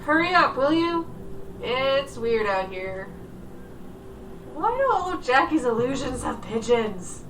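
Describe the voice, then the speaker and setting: A woman's voice: high-pitched, sing-song, slow pace, exaggerated voice. A woman playing an impatient, spacey companion in an audio drama. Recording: distant voice, noisy ambience.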